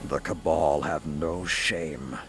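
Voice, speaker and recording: A gravelly war veteran. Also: male voice, rumbling low, slow pace, over music, exaggerated voice. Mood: grim. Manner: rousing, forceful war talk. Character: gruff veteran male warlord, proud and blunt. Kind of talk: video game cutscene dialogue. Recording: game score behind.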